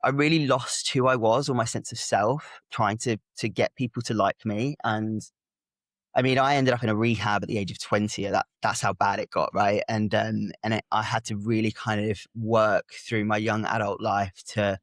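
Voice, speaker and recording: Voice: medium pitch, fairly even intonation, normal speed, no room sound. Reflective non-binary speaker. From Italy. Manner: reflective and open, many soft hesitations. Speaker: guest, activist and public speaker. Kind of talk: candid interview talk.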